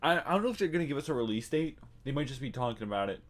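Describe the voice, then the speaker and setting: A streamer, a man, cutting in with loud, excitable comments while watching a live stream. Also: mid-range voice, racing, faint music behind.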